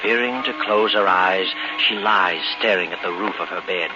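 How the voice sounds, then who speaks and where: Sinister male narrator played by an actor for 1940s radio mystery: low, ominous, taunting, loud music behind, muffled old recording. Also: moderate pace, close to an everyday voice. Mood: gloating menace. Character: sinister omniscient mystery narrator, gloating over the victim.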